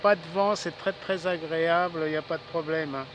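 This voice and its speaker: A high-pitched male voice in a casual ham radio exchange. He is chatty and repeats himself often, recorded outdoors with faint noise around him. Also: fairly even intonation, fairly quick, a bit bright, highs cut off. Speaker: amateur radio operator. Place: outdoors in a city park.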